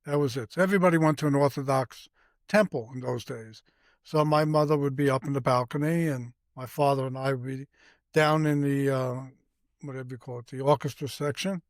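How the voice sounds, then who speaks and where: An older man with a Brooklyn accent, a podcast guest, telling family stories slowly with many pauses and fillers. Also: medium pitch, fairly even intonation, no room sound. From Brooklyn, New York. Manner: halting, unhurried storytelling with many fillers. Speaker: guest, host's father. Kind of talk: casual conversation.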